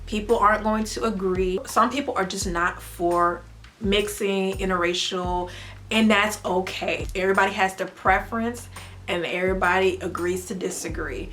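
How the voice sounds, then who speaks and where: Earnest young American mom vlogging. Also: female voice, medium pitch, normal speed. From United States. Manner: earnest, faith-filled, animated with playful outbursts. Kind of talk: casual talk to camera.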